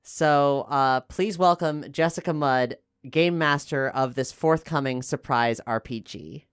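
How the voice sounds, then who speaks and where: Guy chatting and explaining on a podcast, really clean smooth voice, dry close sound. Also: medium pitch, a little slow, fairly bright tone, dry recording. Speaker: podcast host and player. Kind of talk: casual podcast discussion.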